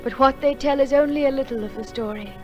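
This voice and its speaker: An actress playing a solemn storyteller, narrating a wartime legend in a measured, lyrical and reverent way. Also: female voice, high-pitched, dull audio, lightly acted. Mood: solemn, wistful. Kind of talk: scripted dramatic narration. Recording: music behind the voice.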